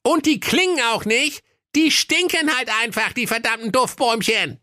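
A man's voice: extremely high pitch, expressive, normal speed, pushed and bright, dry recording, studio quality, pitched above the performer's own voice, full character voice. A man voicing a grumpy, sly evil little male dwarf in a comic fairy tale, grumbling and scheming. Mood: indignant.

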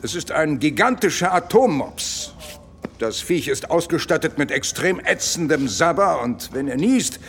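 An actor playing a grave, gruff military general with a portentous manner in a comedic sci-fi audio drama. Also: male voice, fairly high pitch, expressive, measured pace, fairly bright tone. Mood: dry, ominous.

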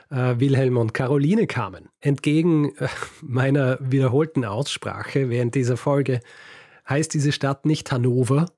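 A man's voice: mid-range voice, unhurried, darkish tone, slightly rough, dry recording, studio quality. A man with a Viennese German accent co-hosting a podcast, telling history stories in casual chat, hesitant with many fillers and small laughs. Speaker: podcast co-host.

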